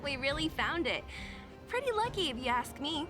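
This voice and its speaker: Lively, teasing young sidekick. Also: female voice, high-pitched, normal speed, music in the background. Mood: excited. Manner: dry, teasing. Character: sharp, sceptical, teasing young woman sidekick. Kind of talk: video game voice acting.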